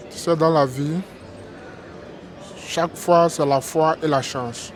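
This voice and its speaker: A man from Togo, interviewed outdoors, tells his story haltingly with many restarts and keeps asking "tu vois, non?". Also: on the high side, steady tone. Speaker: interview guest, migrant worker. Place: outdoors on a busy street.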